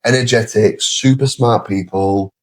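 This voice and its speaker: A middle-aged man with a British accent explaining his views in a measured, conversational way as an interview guest. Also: on the low side. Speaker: interview guest, sales leader.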